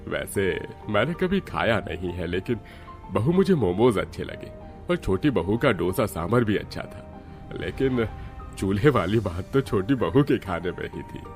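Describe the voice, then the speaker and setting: Low, lively-toned cartoon father-in-law, kindly, faint music. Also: a little slow. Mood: approving. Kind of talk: cartoon voice acting.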